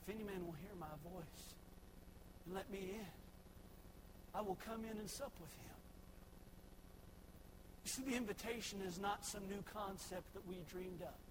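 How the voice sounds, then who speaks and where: A young man preaching a sermon, swinging from shouted exhortation to soft, folksy asides. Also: high-pitched, moderate pace, long pauses, noisy background. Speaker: pastor.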